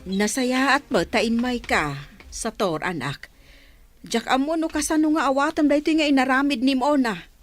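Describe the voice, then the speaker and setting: A woman playing an excitable, wailing mother in an Ilocano radio drama, exclaiming melodramatically. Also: sits high, normal speed, lightly acted. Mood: distressed.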